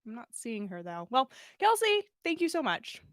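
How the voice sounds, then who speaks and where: A woman's voice: a little high, sing-song, moderate pace, a bit bright, no echo, clean studio sound. A woman hosting a livestream, chatting casually and reading fan fiction aloud in a bubbly, self-deprecating way. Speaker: podcast host and story reader.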